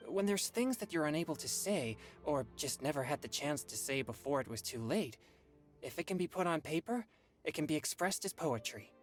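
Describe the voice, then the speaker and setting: A man's voice: on the high side, moderate pace, soft background music, close to an everyday voice. A man playing an earnest, polite teenage boy exorcist in an anime-style game. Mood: earnest, thoughtful. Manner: polite, expressive.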